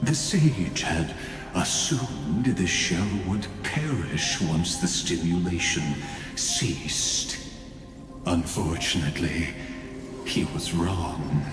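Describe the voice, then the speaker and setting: A man playing a menacing godlike mastermind villain in a video game, coldly courteous and mocking, with grandiose, deliberate delivery. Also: low-pitched. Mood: mocking, sinister. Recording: long hall reverb over music.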